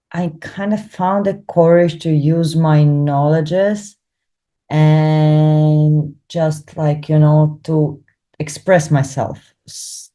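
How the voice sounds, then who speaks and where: A young woman speaking English as a second language, halting and searching for words with many fillers as she talks about learning Hebrew. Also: sits low, mellow. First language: not English, not Hebrew. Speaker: Hebrew learner interviewed about her course. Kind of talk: casual testimonial interview.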